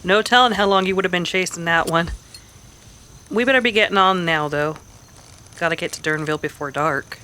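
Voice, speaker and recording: A woman's voice: fairly low pitch, fairly quick, long pauses, bright, forceful tone, slight background noise. An actress playing a plucky, practical saloon woman in an Old West radio drama, plainspoken and folksy. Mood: friendly, brisk. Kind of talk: old-time radio western acting.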